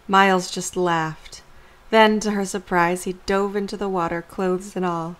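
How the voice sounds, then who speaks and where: A woman's voice: medium pitch, steady tone, measured pace. A woman narrator reading scripted story narration for an amateur audio drama.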